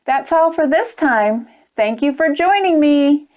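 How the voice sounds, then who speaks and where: A woman's voice: high voice, lively intonation, unhurried, a little mellow. A woman hosting a children's storytime, reading aloud expressively and speaking directly to young listeners. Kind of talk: children's read-aloud narration.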